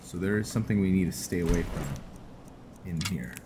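A man giving casual gameplay commentary with some roleplay narration, muttering to himself in a halting, low-key way. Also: background noise. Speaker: gaming video host. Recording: music behind the voice.

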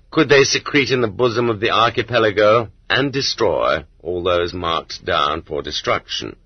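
A man with a deep, slightly rough-grained voice narrates an audiobook. His delivery is measured and rhetorical, with deliberate weight at the ends of phrases. The recording is dry and somewhat muffled, like a lo-fi cassette transfer. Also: dry recording. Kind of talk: scripted audiobook narration of literary nonfiction.

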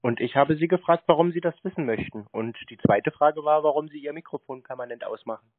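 A man's voice: fairly high pitch, a little fast. Male prankster on the phone, dry and needling, thin telephone sound. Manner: sardonic, challenging, evasive. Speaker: prankster answering scam calls. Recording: narrow tinny phone line.

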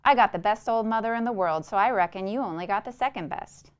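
A woman's voice: medium pitch, quick pace, clear, resonant tone, lo-fi sound, natural voice. A woman voicing a sentimental middle-aged rural Southern man who preaches in a folksy, self-pitying way.

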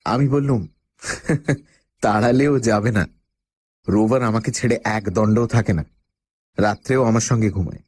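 A man's voice: lowish voice, normal speed, long pauses, a little mellow, breathy edge, no room sound. A man playing the uneasy first-person narrator of a radio horror story, telling it in a dramatic, suspenseful way. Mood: tense, steady. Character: male first-person narrator, uneasy job-seeker with a dog.

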